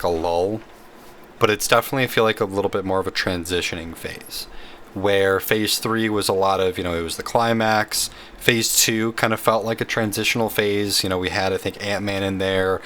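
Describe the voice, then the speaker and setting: A man's voice: lowish voice, a bit bright, slight background noise. A young man co-hosting a casual movie podcast, talking in a rambling, hesitant way with many restarts. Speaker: podcast co-host.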